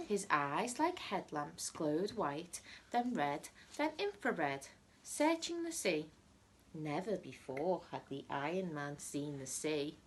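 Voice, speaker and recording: Slow, very animated woman reading a storybook. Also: fairly low pitch, a little hiss or hum. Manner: dramatic, deliberate storytelling with emphatic repetition and hushed phrases. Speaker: teacher reading a story aloud to pupils. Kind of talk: expressive children's storybook reading.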